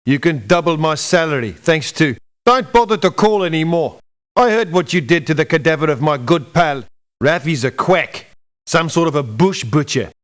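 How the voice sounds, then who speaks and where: An actor voicing a proud, sardonic doctor turned mercenary in a video game, haughty and scolding. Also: male voice, on the high side, natural voice. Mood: scornful. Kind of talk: video game character lines.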